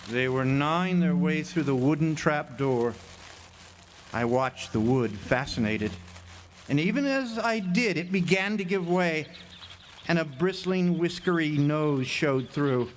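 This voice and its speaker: A man's voice: on the high side, animated, a little slow. A man playing a haunted lighthouse keeper who tells his story in a radio drama, dramatic and stammering over words. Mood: haunted, urgent. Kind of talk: radio drama first-person narration. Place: outdoor amphitheater stage. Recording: noisy outdoor live stage recording, birdsong.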